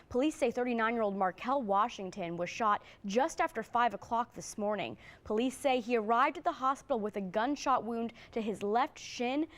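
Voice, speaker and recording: A woman with an American accent reading scripted news as an anchor. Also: fairly high pitch.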